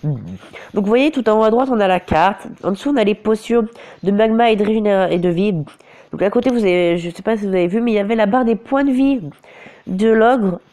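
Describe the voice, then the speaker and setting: A man's voice: high-pitched, a little fast, darkish tone. A man with a cold and a sore throat, giving casual gameplay commentary on a phone recording, often stumbling and correcting himself. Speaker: mobile game commentator. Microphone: phone screen recorder.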